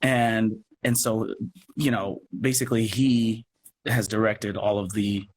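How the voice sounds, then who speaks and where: A man chatting casually as an interview guest, expressive and thinking aloud as he explains his work. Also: fairly low pitch, measured pace, slight background noise. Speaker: radio play producer and guest. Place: remote live video chat.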